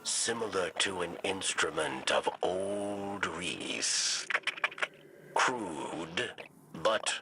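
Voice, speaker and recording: Game role: honorable old alien warrior. Deep, creaky gravel, solemn and measured, with swooping intonation. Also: male voice, lively intonation, background noise, full character voice. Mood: contemplative. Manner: measured, solemn, terse formal phrasing. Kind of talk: video game character dialogue.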